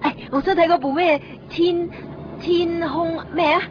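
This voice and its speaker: A woman's voice: extremely high pitch, steady tone, unhurried. A young woman with a Hong Kong Cantonese accent playing a chirpy friend in casual radio drama banter. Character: chirpy young woman chatting about going out. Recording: muffled, faint traffic noise behind. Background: street ambience with vehicles.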